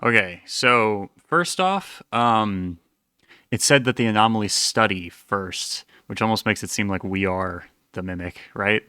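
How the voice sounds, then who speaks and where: A man's voice: on the low side, measured pace, sharp tone, smooth, no echo, studio quality. A man hosting a reaction video, casually thinking aloud in a rambling, self-deprecating way.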